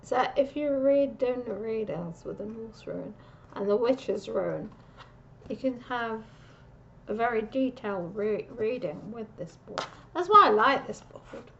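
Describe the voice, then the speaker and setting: A woman giving a casual, meandering psychic reading, pausing and restarting often, on a dull lo-fi recording. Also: a little high, expressive, creaky, fairly bright tone, slight background noise. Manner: meandering, with frequent restarts and pauses. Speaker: psychic reader.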